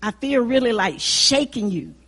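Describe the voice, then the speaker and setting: A woman acts out a church singer who is fed up with being coached and speaks in sharp, exasperated bursts. Her voice sits slightly high and has a folksy dialect. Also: expressive, moderate pace, husky. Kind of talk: acted drama scene.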